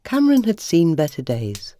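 A woman's voice: deep voice, wildly swooping pitch, normal speed. A woman narrating a children's bedtime story in a scripted storytelling style. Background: music.

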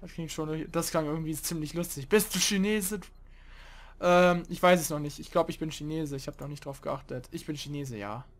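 A young man hosting a casual let's play, chatting and grumbling loudly about teammates as he plays. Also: on the high side, moderate pace. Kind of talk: casual gaming commentary.

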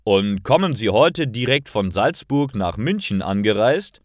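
A stern male border official, acted by a man narrating a story, questions with icy suspicion. The voice is lowish and dry, with slow, measured phrasing. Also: big pitch swings, dry recording. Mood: icy, probing.

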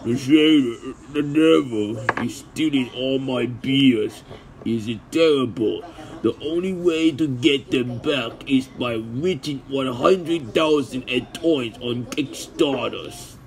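A man voicing a gruff, boastful drunk who begs for help in an amateur cartoon comic dub, slurring as he pleads. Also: medium pitch, takes it slowly, rough recording. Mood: drunken pleading.

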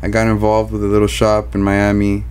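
A young man appearing as a guest in a podcast interview, speaking hesitantly with many fillers into a studio boom mic. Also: completely flat delivery, fairly quick, darkish tone, smooth. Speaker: guest tattoo artist. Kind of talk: casual interview chat. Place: indoor studio with leather couch. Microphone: studio mic on boom arm.

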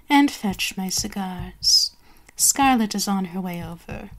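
A cruel, seductive vampire queen, acted by a woman for an ASMR roleplay. She speaks very slowly, savoring each command with haughty, gloating authority. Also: mid-range voice, natural voice, low-quality recording. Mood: imperious, flirtatious.

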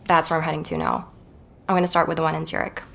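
A curious, persuasive woman podcaster in an audio drama. Her voice sits a little low. She is reflective and measured, sounding natural in both narration and conversation. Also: very animated, gravelly, fairly bright tone, heavily acted. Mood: keen. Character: inquisitive, persuasive woman podcaster researching a painter. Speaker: podcast narrator and lead character.

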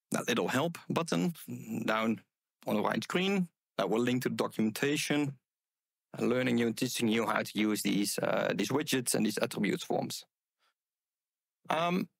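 A middle-aged man giving a conference talk, with a loud voice and many ums and uhs. He speaks on a headset mic in a room with an audience. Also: medium pitch, gravelly, no room sound.

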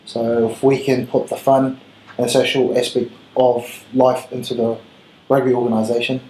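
A man in his thirties with a New Zealand accent, a rugby head coach giving earnest, measured answers in an interview. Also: mid-range voice, little pitch movement, a little mellow. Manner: earnest, measured, with filler ums. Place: small room with gray wall, seated at table. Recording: roomy echo, faint room hiss.

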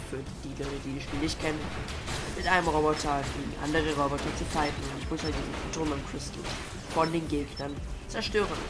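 Chatty young man with a cold. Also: a little high. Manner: rambling, chatty, many fillers and restarts. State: has a cold. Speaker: gaming YouTuber. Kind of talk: casual let's-play commentary.

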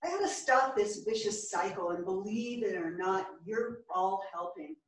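A woman's voice: mid-range voice, big pitch swings, moderate pace, lightly acted. A woman playing a frank, bookish, wounded character in a staged play reading, recorded from far off in a theatre. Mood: confessional, pained. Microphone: far camera. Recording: echoey theatre, far from the microphone.

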